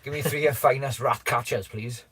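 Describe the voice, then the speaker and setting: A man's voice: mid-range voice, steady tone, fairly quick, fairly bright tone, husky. A man hosting a casual live stream, joking, laughing often and thanking viewers for their comments, with a slight cough.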